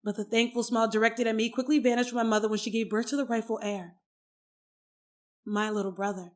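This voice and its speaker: A woman in her twenties performing dramatic spoken word poetry, with theatrical, deliberate phrasing and emphatic stresses. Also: a little high, darkish tone. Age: 20s.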